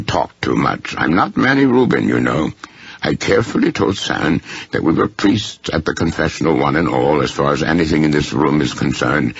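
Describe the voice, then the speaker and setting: A man voicing a breezy, excitable male artist hosting a club dinner in an audiobook, chatty and eager, with a gravelly voice. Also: extremely low pitch, no room sound, highs cut off, heavily acted. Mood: indignant, eager.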